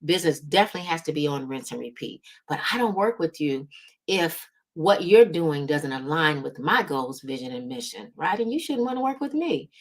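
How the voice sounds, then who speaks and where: A woman's voice: sits low, animated, moderate pace. A woman giving a motivational business coaching talk, speaking emphatically and often checking in with her listeners. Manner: emphatic, checks in with "right?" and "okay?". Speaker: business strategy coach and host.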